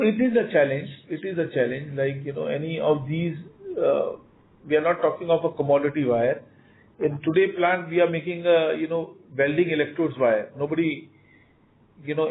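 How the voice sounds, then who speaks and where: Phone-line audio, Indian man, company executive, higher-pitched voice, emphatic, repetitive, earnings call answers. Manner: emphatic, repeats words, frequent "you know".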